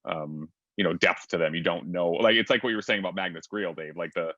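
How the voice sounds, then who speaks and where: Male moderator, very deep voice, filler-laden casual talk, dull dry call audio. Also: fast, sharp tone, no room sound. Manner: filler-heavy, frequent brief agreement. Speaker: panel moderator. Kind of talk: casual panel discussion.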